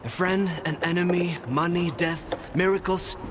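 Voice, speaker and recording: An actor playing a carefree, philosophical drifter in a video game cutscene, musing in a familiar, wistful way. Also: male voice, high voice, steady tone, moderate pace, background noise, natural voice. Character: wistful, carefree male drifter devoted to the Zone.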